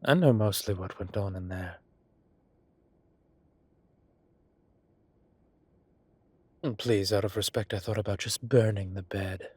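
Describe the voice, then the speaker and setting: A man playing a suave, gossip-loving gentleman boss in an intimate audio roleplay, arch and playfully dramatic, with breathy, drawling delivery. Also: fairly low pitch, fairly quick, no echo. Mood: teasing, sly. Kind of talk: scripted intimate roleplay acting.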